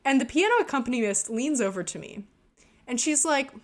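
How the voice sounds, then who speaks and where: A woman's voice: sits high, lively intonation, brisk. A woman vlogger telling an embarrassing story in a casual, self-deprecating way while narrating gameplay. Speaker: gaming vlogger.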